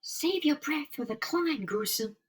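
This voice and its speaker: A man voicing a spirited young superhero woman in a comic-book drama, breathy, anxious and melodramatic. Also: very high voice, lively intonation, measured pace, pitched above the performer's own voice. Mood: scolding.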